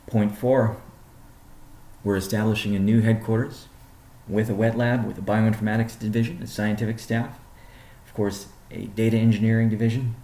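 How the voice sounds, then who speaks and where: Deep, gravelly male executive in a roomy space. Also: warm tone. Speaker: company CEO presenting. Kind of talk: prepared business presentation on a conference call. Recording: roomy, echoing sound.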